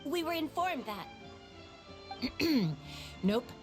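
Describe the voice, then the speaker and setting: An actress playing an awkward, guarded guard in a video game, with a hesitant manner. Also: female voice, high voice, sing-song, drawn-out words, over music, full character voice.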